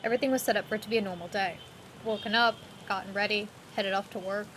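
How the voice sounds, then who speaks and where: A woman's voice: mid-range voice, steady tone, quick pace, slight background noise, close to an everyday voice. A woman playing a streetwise friend who narrates an audio drama in the second person, in an intimate, dramatic manner. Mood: tense, grave. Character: concerned streetwise friend narrating a tense urban memory. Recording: loud music behind.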